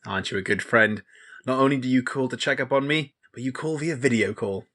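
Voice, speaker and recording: Male actor as lonely childlike best friend, Christmas-obsessed, theatrical, gushing, earnest, mid-pitched, audio play comedy. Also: a little fast, pushed and bright. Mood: chirpy.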